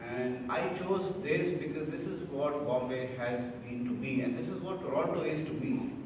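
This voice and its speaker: Man from India, earnest interview talk, middle pitch, echoey hall, distant noisy mic. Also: moderate pace. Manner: earnest, emotional, with repeated restarts. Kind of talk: reflective interview.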